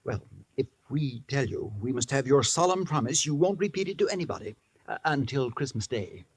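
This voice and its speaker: A man's voice: mid-range voice, animated, fairly quick, husky, no room sound. An actor playing a fussy showman who trains performing mice in a 1940s radio drama, earnest and grandiloquent.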